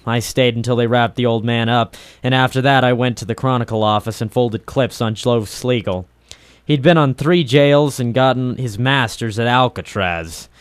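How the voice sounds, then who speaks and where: An actor playing a hard-boiled, wisecracking private eye in a 1940s radio noir, cynical, clipped and sardonic. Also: male voice, medium pitch, smooth, dry recording, natural voice.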